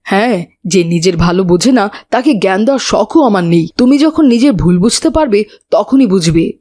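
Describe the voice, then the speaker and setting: A woman's voice: medium pitch, lively intonation, moderate pace, mellow, close to an everyday voice. A woman voicing a polite, principled child who moralises earnestly in a cartoon.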